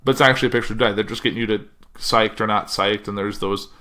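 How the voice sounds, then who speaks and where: A man's voice: on the low side, steady tone, fast, bright, forceful tone. Man rambling through a casual solo podcast. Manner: rambling, thinks aloud, trails off mid-sentence. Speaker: podcast host.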